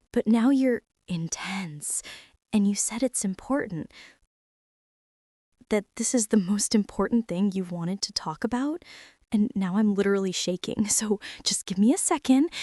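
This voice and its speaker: A woman playing a shy, self-doubting teenage art girl in a girlfriend ASMR roleplay, speaking in a nervous, halting, rambling way. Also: medium pitch, a little mellow, no echo, studio quality, lightly acted. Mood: nervous, flustered.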